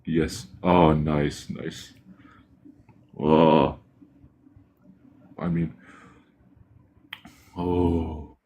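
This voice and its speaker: A man in his twenties with a very deep voice, chatting casually in a halting, shy way with many false starts. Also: little pitch movement, warm tone. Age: 20s. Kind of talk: casual chat.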